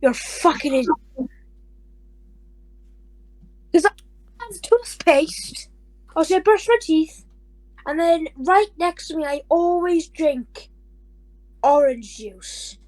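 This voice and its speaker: A woman's voice: high-pitched, animated, slow, long pauses, darkish tone, no room sound, rough recording. A woman hosting a comedy podcast, blunt and crude, firing off abrupt questions and insults. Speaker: podcast host. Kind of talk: chaotic comedy chat.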